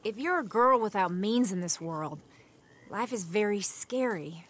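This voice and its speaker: A young actress playing a gentle, thoughtful woman in an outlaw gang, speaking softly and earnestly in a video game cutscene. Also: mid-range voice, lively intonation, unhurried.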